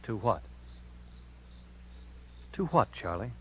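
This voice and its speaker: An actor playing a persuasive, insistent but kind young detective in a 1950s radio drama. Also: male voice, on the low side, sing-song, slow pace, fairly bright tone, exaggerated voice. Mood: firm, pressing. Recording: hissy, humming 1950s radio recording.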